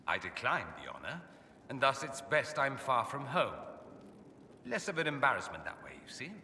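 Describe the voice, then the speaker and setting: A bright, witty aristocratic mage. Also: male voice, medium pitch, normal speed, reverberant, in a large hall, slight background noise, heavily acted. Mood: theatrical, self-mocking. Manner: suave, theatrical, wry, self-flattering. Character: witty, vain aristocratic male mage, sardonic rebel exile. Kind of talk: video game dialogue acting.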